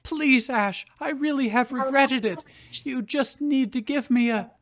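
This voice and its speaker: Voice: sits high, unhurried, pitched above the performer's own voice. A performer voicing a regretful middle-aged mother, pleading and apologetic, in a fan fiction reading. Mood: desperate.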